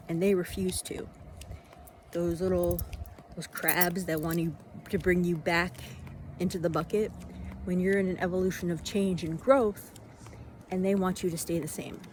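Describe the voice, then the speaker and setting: A woman giving an unscripted motivational monologue in a reflective way, in halting phrases with deliberate pauses. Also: on the low side, steady tone, a little mellow. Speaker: vlogger giving self-help reflections. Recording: noisy, vehicle-like ambient sound.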